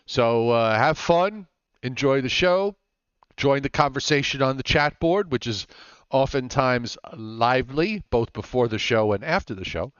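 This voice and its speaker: A man's voice: mid-range voice, clean tone, no echo, muffled recording. A man hosting a live weather show, chatting casually and teasing his co-host, with frequent fillers.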